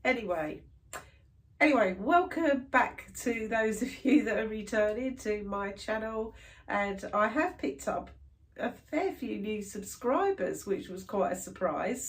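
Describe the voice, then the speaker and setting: A woman's voice: medium pitch, lively intonation, unhurried. A woman in her thirties, stuffed up with hay fever, chatting casually to camera about her stitching. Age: 30s. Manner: chatty, rambling, many fillers. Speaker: cross stitch vlogger.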